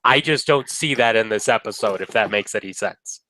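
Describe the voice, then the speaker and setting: A man's voice: lowish voice, brisk, bright, forceful tone, a little hiss or hum, poor audio. A man with a cold and a nasal voice, co-hosting a casual review chat over a webcam in a laid-back, wry manner. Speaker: co-host of a review video. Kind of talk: casual banter. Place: indoor room.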